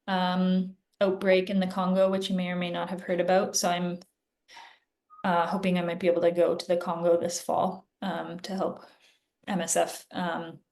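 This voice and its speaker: A woman physician answering interview questions in a casual, chatty way, often pausing with "like" and "um", heard over a video call. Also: on the low side, monotone, gravelly, a little mellow. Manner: chatty, filler-heavy, frequent "like" and "um". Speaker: guest physician.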